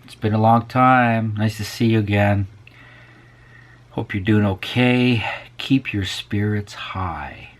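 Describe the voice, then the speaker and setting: A man's voice: fairly low pitch, slow pace. A man hosting a casual vlog, rambling and musing to viewers, often dropping to a near whisper. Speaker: vlog host.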